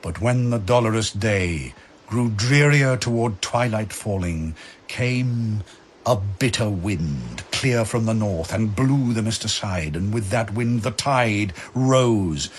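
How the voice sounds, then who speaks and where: A man's voice: lowish voice, lively intonation, takes it slowly, slightly rough. A man narrating epic verse as a drama, in a grave, theatrical, declamatory manner.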